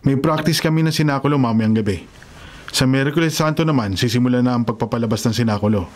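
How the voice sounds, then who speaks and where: A man acts a devout Filipino carpenter and grandfather in a radio drama. He is kindly and hospitable, speaking earnestly in a medium-pitched voice. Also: fast, natural voice. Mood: warm, hospitable.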